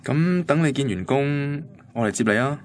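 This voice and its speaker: An actor playing an earnest, devoted young boyfriend in a Hong Kong Cantonese radio drama, speaking colloquially and sounding quietly vulnerable. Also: male voice, medium pitch, lively intonation, normal speed, lightly acted. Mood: hopeful, coaxing. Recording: music bed under the voice.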